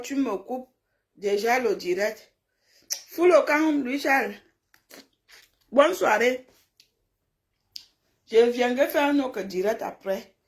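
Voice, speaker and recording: A woman chatting on a live stream, blunt and scolding, emphatic and given to repeating herself. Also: a little high. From francophone Africa, grew up in a village. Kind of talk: casual live stream chat, replying to viewers' comments.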